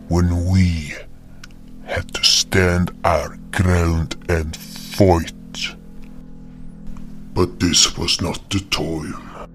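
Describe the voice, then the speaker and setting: A solemn hunter , played by a man, recounts a fantasy battle in a deep voice. The delivery is very slow, grave and breathy, like dramatic audio storytelling. Music plays behind the voice.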